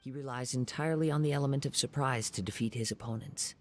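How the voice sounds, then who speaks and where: A man voicing a soft-spoken, contemplative young swordsman in a video game, formal and polite in his measured phrasing. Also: medium pitch, lightly acted. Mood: calm, admiring. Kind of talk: scripted video game character dialogue. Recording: background music.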